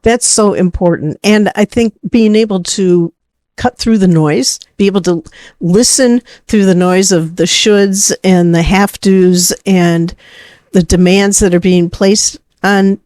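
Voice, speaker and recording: A woman co-hosting a podcast in a reflective, conversational style, building her points deliberately in repeated phrases. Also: medium pitch, a little mellow, no echo, clean studio sound. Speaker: podcast co-host.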